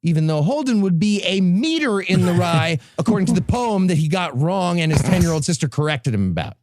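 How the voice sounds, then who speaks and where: A man's voice: high voice, sing-song, a little fast, darkish tone, no echo, clean studio sound. A man hosting a comedy podcast, giving a casual, sarcastic and irreverent book recap. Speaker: podcast host presenting a book summary.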